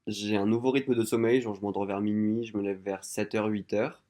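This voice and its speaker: Fast-talking young Frenchman, slightly low voice. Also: little pitch movement, warm tone. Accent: French from France. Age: young adult. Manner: candid, self-mocking, slangy. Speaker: vlogger. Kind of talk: casual confessional vlog to viewers.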